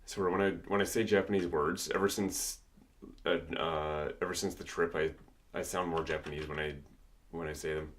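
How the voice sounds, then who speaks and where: Deep-voiced guy chatting casually while he works at his computer, faint keys clacking. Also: steady tone, normal speed, a little hiss or hum. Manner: thinking aloud while working, easygoing. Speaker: music producer streaming his work. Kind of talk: casual livestream commentary.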